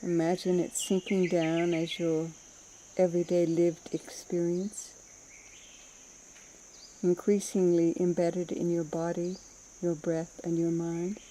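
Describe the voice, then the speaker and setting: A woman with a very soft, dark and relaxed tone guides a mindfulness meditation. She speaks slowly and soothingly, leaving gentle gaps between phrases, in a slightly low voice. Insects chirp audibly behind her. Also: a little hiss or hum. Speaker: meditation teacher.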